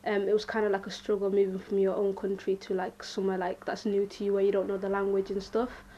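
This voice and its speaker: A young woman from Somalia casually recounting her past, rambling and relaxed with many fillers. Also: mid-range voice, fast, a little hiss or hum. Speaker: interviewee. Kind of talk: casual personal account. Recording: dull lo-fi recording.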